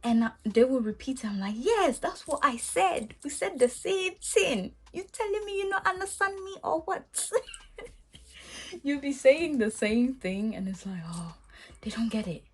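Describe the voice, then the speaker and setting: A woman's voice: high-pitched, measured pace, husky. A young woman from Ghana with a Ghanaian accent, casually telling a story to her vlog viewers and acting out her own reactions. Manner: animated, acts out her own past reactions with laughs. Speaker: vlogger.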